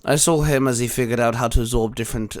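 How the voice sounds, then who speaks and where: A man voicing a calm, grave mentor of heroes in an audiobook, speaking in a measured, emphatic way. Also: medium pitch, close to an everyday voice. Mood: solemn. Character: calm, grave male mentor guarding the multiverse. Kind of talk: dramatic audiobook character acting.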